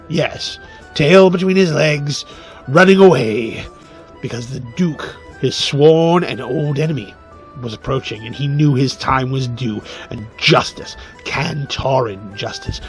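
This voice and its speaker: A man playing a proud, scornful loyalist telling war tales in a fantasy radio drama, with halting, grandiose phrasing. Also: medium pitch, close to an everyday voice. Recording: music under the voice.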